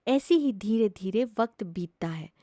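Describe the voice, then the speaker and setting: A woman's voice: medium pitch, animated, measured pace, mellow, no echo, studio quality. A woman narrating a scripted children's story as a storyteller.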